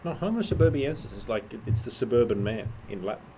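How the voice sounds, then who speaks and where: A man's voice: mid-range voice, expressive, quick pace, dark tone, slight background noise. A young man in casual podcast chat, teasing and arguing in a dry way. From Australia. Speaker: co-host.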